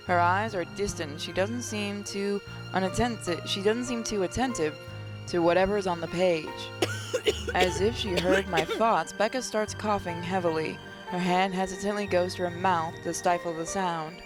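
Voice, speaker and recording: A woman's voice: fairly low pitch, normal speed, music in the background, low-quality recording. A woman reading a visual novel's narration aloud, now and then stumbling and rereading a phrase. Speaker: gaming channel host.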